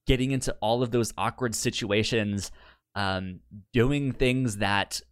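Stuttering man in casual chat, fairly low voice. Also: clean tone, no echo, studio quality. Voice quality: stutter, repeating sounds and words. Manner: halting, informal explaining with frequent restarts. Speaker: podcast co-host. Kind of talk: casual chat recapping sitcom episodes.

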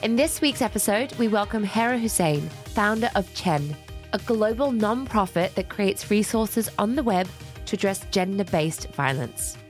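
A woman's voice: medium pitch, animated, normal speed, soft background music. A woman hosting a podcast, reading a scripted introduction and asking interview questions with enthusiasm. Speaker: podcast host.

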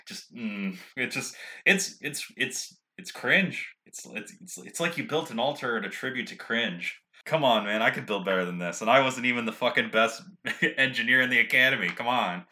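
A man's voice: medium pitch, moderate pace, bright, forceful tone. A man improvising casually as a player in a tabletop role-playing game, slipping in and out of character with colloquial, exasperated talk.

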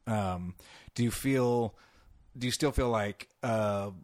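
A man's voice: mid-range voice, slow pace, darkish tone, no echo, studio quality. A man from California with a light Californian accent, a podcast host asking questions in a rambling, casual way. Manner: rambling, many fillers and restarts. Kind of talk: casual conversation.

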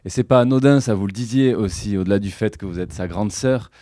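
A man interviewing a guest, asking long, measured questions. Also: on the low side, warm tone, no room sound, studio quality.

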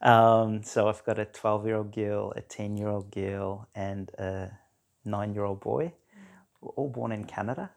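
A man in his forties from South Africa with a South African accent, chatting casually as a podcast guest with a relaxed, rambling manner full of fillers. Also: fairly low pitch, measured pace, vocal fry. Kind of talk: casual conversation.

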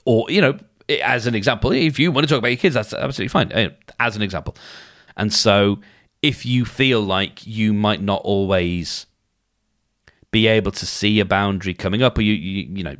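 A man with a hoarse, somewhat low voice hosts a solo podcast in a relaxed, chatty way. He thinks out loud and often doubles back mid-sentence. He speaks close to the microphone in a dry, dead room. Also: no echo. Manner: chatty, thinking aloud with frequent restarts. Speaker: podcast host. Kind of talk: casual solo podcast advice.

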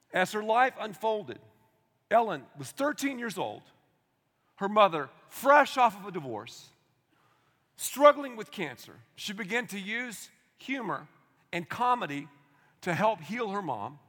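A man, preaching a sermon in an energetic, conversational way with asides to the congregation. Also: high voice. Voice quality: loud voice. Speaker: pastor. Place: large church auditorium. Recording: long hall reverb.

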